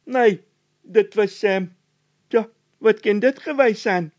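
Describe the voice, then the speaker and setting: A man's voice: sits high, slow, a little mellow, pitched above the performer's own voice. A man voicing a timid, whining workman who refuses to budge, speaking emphatically in a storybook tale. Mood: nervous. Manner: whiny, emphatic.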